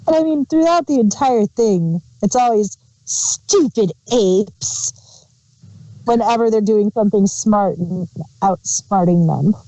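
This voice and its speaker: A woman's voice: mid-range voice, expressive, takes it slowly, mellow, dry recording. A woman chatting casually as co-host of a review show. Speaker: podcast co-host reviewing audio dramas. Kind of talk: casual chat. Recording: dull lo-fi voice recording.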